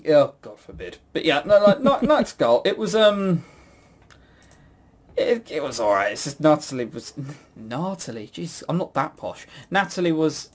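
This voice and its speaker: A man's voice: fairly high pitch, very animated, measured pace. A man hosting a casual chat about games, with a sarcastic, mock-grumbling manner. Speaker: podcast host. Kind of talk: casual chat reviewing visual novels.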